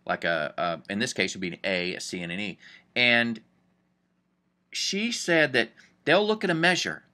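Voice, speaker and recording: A man's voice: on the low side, normal speed, pushed and bright. A man teaching music theory in a chatty, upbeat way, thinking aloud as he goes. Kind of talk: casual screen-recorded lesson.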